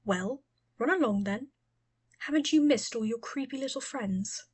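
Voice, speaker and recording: A woman's voice: a little high, normal speed, breathy edge. A woman voicing a sullen, sarcastic, defiant adolescent boy in an audiobook, with a dismissive, scornful manner.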